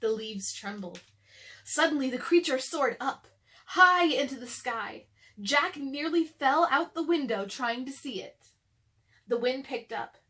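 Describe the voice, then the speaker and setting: A woman's voice: high voice, lively intonation, a little slow, sharp tone. A woman hosting a children's read-aloud, narrating a storybook expressively.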